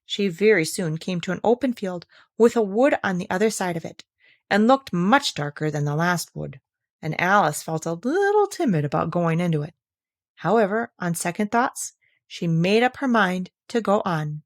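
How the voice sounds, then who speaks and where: A woman's voice: on the low side, lively intonation, normal speed, no room sound, clean studio sound. Woman narrating a children's storybook. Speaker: audiobook reader.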